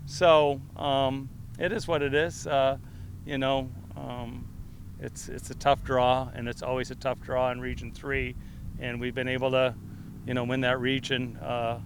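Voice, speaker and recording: Rambling middle-aged football coach, faint hiss. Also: male voice, medium pitch, flat delivery, measured pace. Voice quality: loud voice. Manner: loose, rambling, frequent fillers and restarts. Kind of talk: press conference answers.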